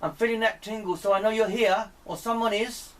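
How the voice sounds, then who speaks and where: A man speaking in deliberate, pleading short phrases, putting questions to a spirit as an amateur experimenter. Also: high-pitched, fairly even intonation. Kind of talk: slow spoken questions addressed to a spirit. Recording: distant mic, clear background noise.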